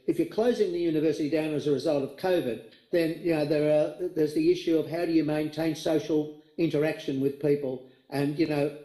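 Older man, thoughtful speaker, slightly raised pitch, mellow tone, roomy video call sound. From Australia. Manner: thoughtful, many restarts and repeated words. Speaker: keynote speaker. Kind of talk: answering questions in an online forum. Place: home study with bookshelves, indoors.

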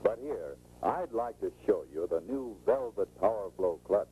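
An American man presents a scripted 1940s training film in an instructive, salesmanlike way. His voice is very dark and mellow, with lively, swinging intonation. It comes through a muffled old film soundtrack with faint noise. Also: fairly low pitch, normal speed.

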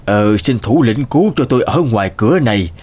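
A man's voice: medium pitch, expressive, a little fast, a little mellow, close to an everyday voice. Man voicing a humble, wily old crow. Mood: humble pleading. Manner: deferential, pleading, hesitant. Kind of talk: cartoon fairy-tale voice acting.

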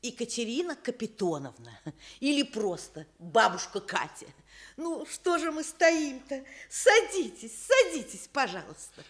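Lively, high-voiced old widow. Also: female voice, a little slow. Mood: welcoming, reminiscing. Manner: effusive, genteel, talkative. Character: kindly, lively old professor's widow, chatty grandmother. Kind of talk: Soviet radio theatre acting.

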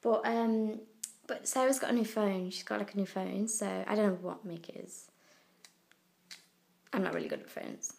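A young woman from the UK with a croaky voice, rambling through a casual video diary with lots of fillers. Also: mid-range voice, normal speed, pauses often, a little mellow. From United Kingdom. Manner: rambling, chatty, many fillers and trailing asides. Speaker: vlogger. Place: indoors, abroad in Italy. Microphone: handheld phone camera.

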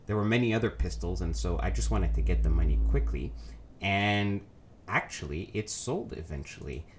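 Deep-voiced man with a nasal cold. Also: animated, moderate pace, slight background noise. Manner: rambling, many ums and self-corrections. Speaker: let's play host. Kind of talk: casual gameplay commentary.

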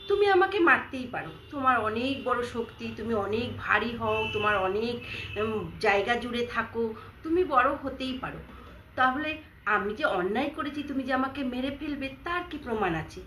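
A woman doing a small, high, reasoning sewing needle. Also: moderate pace, fairly bright tone, a little hiss or hum, close to an everyday voice. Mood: pleading. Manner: pleading, reasoning. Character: small humble female sewing needle, calm. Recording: echoey room.

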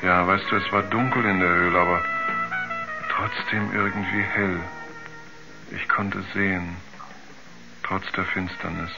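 An actor playing a haunted, frightened astronaut, halting and dazed as he searches for words in a seventies radio play. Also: deep voice, fairly even intonation, gravelly. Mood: dazed, unsure. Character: haunted, frightened male astronaut recounting a moon ordeal. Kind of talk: 1970s German radio drama acting. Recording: dull vintage radio recording, music underneath.

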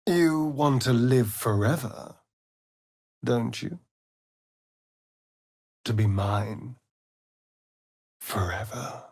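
An actor playing an arch, flirtatious, sardonic vampire rogue in a video game, with a theatrical drawl. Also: male voice, mid-range voice, drawn-out words, long pauses, darkish tone, husky, dry recording, clean studio sound, heavily acted. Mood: intimate, brooding. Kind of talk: video game character dialogue.

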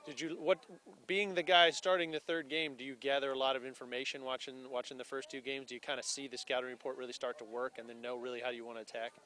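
Male interviewer, conversational and rambling, bright tone, recorded outdoors on a phone. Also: medium pitch, little pitch movement, fast. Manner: conversational, rambling questions with restarts. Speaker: postgame interviewer. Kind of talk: postgame interview. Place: outdoors beside a baseball field.

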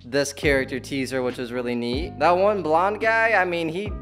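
A man in his twenties giving casual, excited reaction commentary in slangy, playful language. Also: fairly high pitch, normal speed. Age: 20s. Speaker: reaction video host. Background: music and game trailer audio.

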